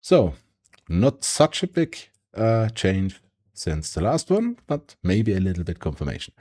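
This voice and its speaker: A man's voice: on the low side, big pitch swings, measured pace, dark tone. A man with a German accent, German his first language, giving a casual market analysis and often adding "yeah?" to check his point lands. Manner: tag questions and fillers, yeah, um. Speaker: stock market YouTuber.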